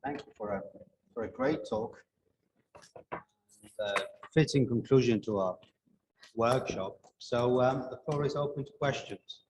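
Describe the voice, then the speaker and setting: A man with a mid-range voice chairs an academic session in an unscripted way. Clear ambient noise sits behind his voice. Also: mid-range voice, a little slow, long pauses. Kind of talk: unscripted conference moderation. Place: indoor conference room. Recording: noisy room ambience.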